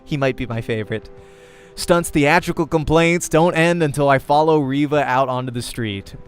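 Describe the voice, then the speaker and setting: A man's voice: on the high side, normal speed. A man reading a visual novel aloud as the host of a let's play, narrating in character voice and prose. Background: music.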